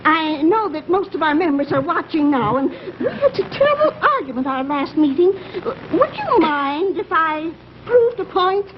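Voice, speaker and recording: An actress playing a gushing, fussy elderly fan club president in a 1950s television comedy sketch. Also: female voice, very high voice, very animated, moderate pace, few pauses. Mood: coy. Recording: muffled 1950s TV soundtrack, noisy studio audience.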